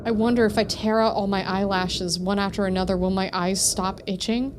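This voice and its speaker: A woman's voice: mid-range voice, steady tone, fairly quick, background noise, lightly acted. A woman voicing a troubled, needy young narrator of a psychological horror visual novel, reading in an introspective, pleading way. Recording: music behind the voice.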